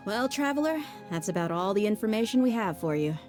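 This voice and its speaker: An actress playing a calm, matter-of-fact informant giving news in a game cutscene. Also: female voice, medium pitch, a little fast, over loud music, close to an everyday voice. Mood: informative.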